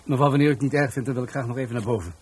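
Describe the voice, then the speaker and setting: An actor playing an elderly police commissioner in a radio drama, formal and polite, with small hesitations. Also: male voice, medium pitch, very fast, darkish tone, husky, lightly acted. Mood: courteous.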